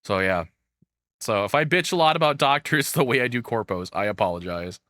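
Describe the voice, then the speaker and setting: A man's voice: medium pitch, animated, brisk, a bit bright, dry recording. A man giving casual, rambling reaction commentary, swearing freely in an informal way. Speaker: reaction video host.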